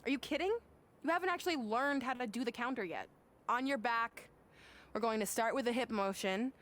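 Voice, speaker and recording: A woman's voice: on the high side, a little fast, a bit bright, close to an everyday voice. A woman voicing a bossy, sarcastic young wrestler turned coach in a video game cutscene, curt and condescending.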